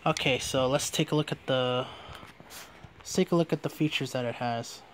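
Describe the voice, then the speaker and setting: A man's voice: medium pitch, moderate pace, a little hiss or hum. A man giving a casual hands-on product demonstration as a reviewer.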